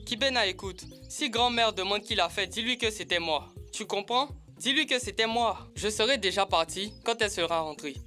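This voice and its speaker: Woman voicing a bright, confident child. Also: medium pitch, normal speed, few pauses, soft background music, close to an everyday voice. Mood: urgent. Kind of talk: animated children's cartoon dub.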